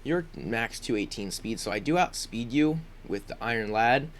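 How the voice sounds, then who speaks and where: A man's voice: mid-range voice, animated, moderate pace, noisy background. Congested man thinking aloud over a game. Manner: thinks aloud, frequent ums and restarts. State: congested, sniffling. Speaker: gaming YouTuber. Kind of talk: casual gameplay commentary.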